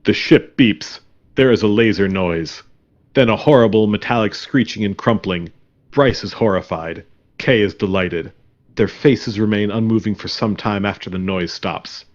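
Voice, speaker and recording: A man reading scripted stage directions as a deadpan narrator. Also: lowish voice, moderate pace, dull audio.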